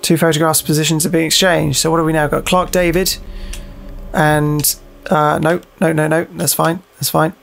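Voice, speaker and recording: A man's voice: on the high side, little pitch movement, normal speed, gravelly, a bit bright. A man hosting a gaming video with casual, jokey, sweary commentary, muttering to himself and reading on-screen text aloud. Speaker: gaming video host playing a horror game.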